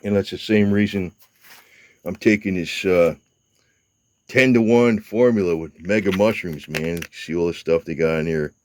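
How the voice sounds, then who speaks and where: A man's voice: deep voice, unhurried, a little hiss or hum. A man of seventy talking casually to camera, rambling with hesitations, fillers and the odd curse. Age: 70s. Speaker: motorcycle vlogger.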